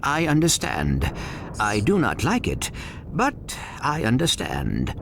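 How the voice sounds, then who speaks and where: Polite, lilting little robot sidekick. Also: male voice, medium pitch, huge pitch swings, takes it slowly, creaky, heavily acted. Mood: reluctant. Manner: formal, precise, no contractions. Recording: noisy ambience behind voice.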